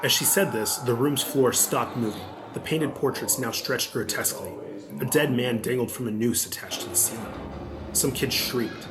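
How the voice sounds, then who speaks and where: A man's voice: medium pitch, moderate pace, over music. A man narrating a scripted adventure audiobook as the storyteller.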